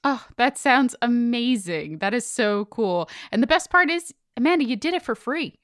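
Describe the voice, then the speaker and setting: A woman's voice: a little high, animated, normal speed, a bit bright, no echo. Upbeat woman podcaster, dry close recording. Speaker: podcast co-host. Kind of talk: casual chatty ad read and banter.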